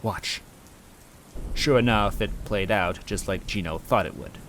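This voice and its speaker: Deep-voiced man narrating a comic story. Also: moderate pace, fairly bright tone. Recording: rain noise and game music behind.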